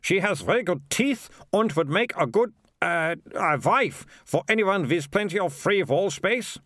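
A man's voice: high voice, expressive, a little slow, fairly bright tone, dry recording, studio quality, pitched above the performer's own voice. A man voicing a fussy, flustered storybook mayor, hesitant and penny-pinching, hemming and hawing. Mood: flustered, wheedling.

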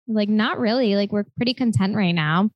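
A woman in her late twenties co-hosting a podcast, telling stories in a chatty, animated way full of "like" fillers. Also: medium pitch, moderate pace, no room sound, muffled recording. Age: 20s. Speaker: podcast co-host. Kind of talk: casual chat.

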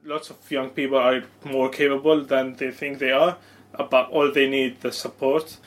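A man's voice: medium pitch, little pitch movement, moderate pace. A young man who volunteers with a charity, speaking in an interview with lots of fillers as he searches for words.

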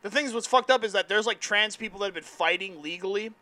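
A man's voice: high-pitched, fast talker, sharp tone. A young man in crude, joking casual chat with friends, rambling and filling with "like". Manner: rambling, heavy use of "like", joking provocatively.

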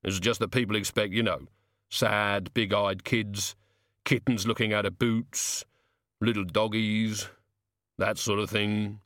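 A man's voice: lowish voice, little pitch movement, unhurried, a bit bright, dry recording, clean studio sound. A man voicing a cheeky, sceptical little male gnome in an audiobook, blunt and colloquial. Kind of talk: audiobook character reading.

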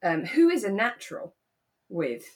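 A woman co-hosts a relaxed podcast. She talks in a casual, conversational way at a middling pitch, retelling things with animated little asides as if to a friend. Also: moderate pace. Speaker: podcast co-host. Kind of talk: relaxed casual chat recapping a radio drama.

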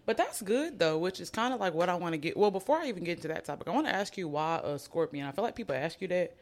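A woman's voice: fairly low pitch, expressive, fast. A woman in her twenties hosting a podcast, chatting casually with slang and frequent laughter. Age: 20s. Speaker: podcast host. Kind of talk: casual chat.

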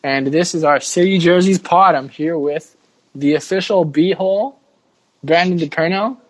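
A man's voice: fairly high pitch, a little slow, no room sound, poor audio. A man hosting a casual sports podcast with jokey, slangy banter. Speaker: podcast host.

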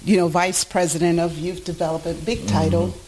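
A woman's voice: on the low side, fairly even intonation, brisk, darkish tone, slight background noise, rough recording. A middle-aged woman from New York, born in Puerto Rico, giving earnest, explanatory answers as an interview guest. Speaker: guest, youth education founder.

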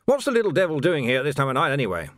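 A man's voice: fairly high pitch, huge pitch swings, rapid-fire, fairly bright tone, dry recording, studio quality, pitched above the performer's own voice. A man voicing a pompous, skinny police captain in an audiobook, blustering and self-satisfied. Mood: barking, irritated. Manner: blustering, punctuates remarks with "what?".

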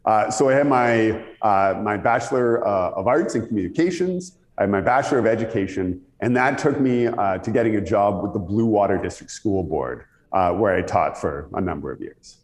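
A man's voice: fairly low pitch, lively intonation, moderate pace, few pauses. A middle-aged Canadian man with a radio-style voice, telling conversational anecdotes as an interview guest. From Ontario, Canada. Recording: echoey room with long reverb.

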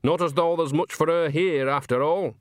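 A man's voice: fairly high pitch, fairly even intonation, normal speed, dry recording, pitched above the performer's own voice, natural voice. A man voicing a chatty, homely, cheeky old woman witch in an audiobook, folksy and rambling. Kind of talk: audiobook character acting.

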